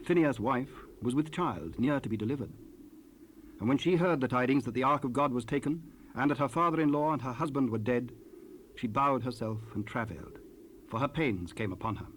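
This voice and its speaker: Medium-pitched male scripture narrator, faint tape noise. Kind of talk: dramatized scripture narration, archaic English. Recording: noisy raw cassette rip.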